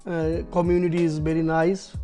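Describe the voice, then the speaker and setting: Bangladeshi guy, middle aged, high voice, halting, lots of uhs, soft music under. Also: completely flat delivery. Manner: halting, simple non-native phrasing with many fillers. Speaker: taxi driver, tenant. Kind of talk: documentary interview. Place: apartment living room.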